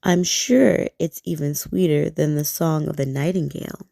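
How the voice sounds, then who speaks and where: Sly male storybook fox, read by a woman, low, gravelly, oily flattery. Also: moderate pace, no echo. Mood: flattering. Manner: flattering, sweetly cunning.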